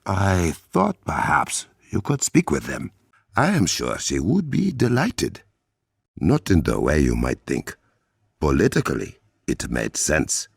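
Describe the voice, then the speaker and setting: A composed, noble warrior king from a video game, speaking in a remarkably deep, husky voice with an African accent. His pitch moves expressively while his phrasing stays formal and measured, with a dry wit. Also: huge pitch swings, no room sound, exaggerated voice. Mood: composed, earnest. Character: dignified Wakandan king and warrior, composed, dryly witty. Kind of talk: video game character dialogue.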